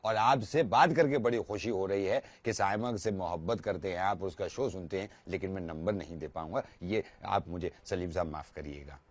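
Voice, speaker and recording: A man with a fairly low voice, recorded close and dry. He works as a radio jockey and handles a prank call with formal, deliberate courtesy. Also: no room sound. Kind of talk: prank phone call, polite formal talk.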